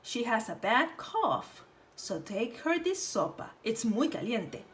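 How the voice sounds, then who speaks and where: A caring but bossy storybook mom, as a woman reader plays her, dramatic and instructing. The high voice moves in lively swoops. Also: slow. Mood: cautioning.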